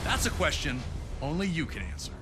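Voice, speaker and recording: A man's voice: a little high, sing-song, brisk, over music. A man narrating a wrestling match like a dramatic sports documentary, in an emphatic storytelling manner. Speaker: narrator of a wrestling game showcase.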